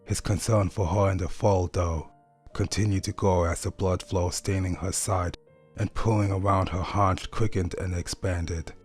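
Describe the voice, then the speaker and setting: Guy reading a dramatic fan story, low pitched voice, music underneath. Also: steady tone, measured pace, darkish tone. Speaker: story narrator. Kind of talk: dramatic scripted fan fiction narration.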